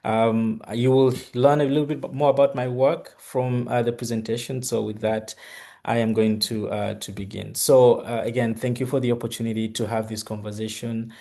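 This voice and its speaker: A man's voice: mid-range voice, flat delivery, normal speed, gravelly, dark tone. A middle-aged man from Uganda giving an academic presentation on a video call, hesitant, with many fillers and restarts. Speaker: guest speaker.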